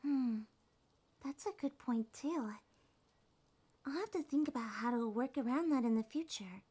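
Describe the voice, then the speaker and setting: A woman voicing a shy, sweet young succubus monster girl in a soft, breathy, hesitant ASMR roleplay. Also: high-pitched, lively intonation, a little slow, exaggerated voice. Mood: sheepish, soft. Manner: hesitant, gentle, slightly stammering.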